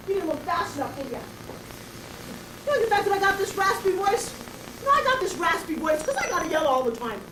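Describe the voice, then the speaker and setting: A woman playing an eccentric, brash homeless bag lady with a raspy voice, who talks straight at the passersby in a comic stage monologue. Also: extremely high pitch, reverberant, far from the mic, noisy background. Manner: confrontational, addresses passersby directly. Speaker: actress performing a stage monologue.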